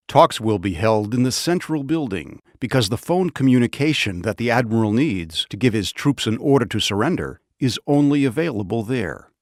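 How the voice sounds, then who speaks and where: An actor playing a grave, resolute army officer in a war game, giving a scripted briefing in a deliberate, commanding way. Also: male voice, mid-range voice, dry recording, studio quality. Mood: grave, wary. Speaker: military commander.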